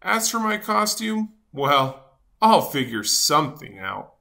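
Woman playing grumpy storybook seed, male, self-important, theatrical, very animated pitch, unhurried, dramatic. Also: creaky, full character voice. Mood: excited, resolved. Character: grumpy, dramatic sunflower seed, male, fretful but good-hearted. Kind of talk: animated children's storybook read-aloud.

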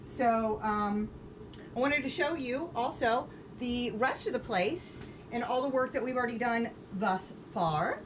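A woman's voice: a little high, lively intonation, measured pace, noisy background. Chatty woman renovating inside an RV. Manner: chatty, upbeat, lots of fillers while working. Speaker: RV renovation vlogger. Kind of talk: casual how-to demonstration. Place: inside a small RV under renovation. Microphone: camera mic, moving about while working.

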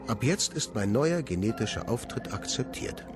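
An actor playing a cold, scheming male scientist in a measured, calculating radio drama monologue. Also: mid-range voice, animated, lightly acted. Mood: cold, triumphant. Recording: voice over loud music.

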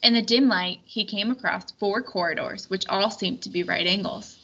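A woman reading a storybook aloud in expressive scripted narration. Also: mid-range voice, normal speed, vocal fry. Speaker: storybook reader. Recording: dull recording with faint background noise.

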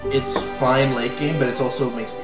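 A young man from Canada, co-hosting a live game stream in casual chat, rambling and joking with quick asides. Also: mid-range voice, level tone, roomy sound, loud music behind the voice, low-quality recording. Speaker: let's play co-host. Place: small room at home.

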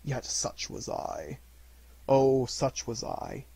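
A man voicing the anguished ghost of a dead miserly businessman in a storybook, lamenting solemnly and slowly, from hushed to loud. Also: mid-range voice, lively intonation, vocal fry, slightly rough, dry recording, heavily acted. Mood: wailing.

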